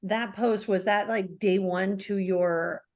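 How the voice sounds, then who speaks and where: A woman's voice: medium pitch, steady tone, slow pace, a little mellow. A woman co-hosting a podcast who jumps in with short, probing questions. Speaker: podcast co-host. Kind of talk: casual interview chat.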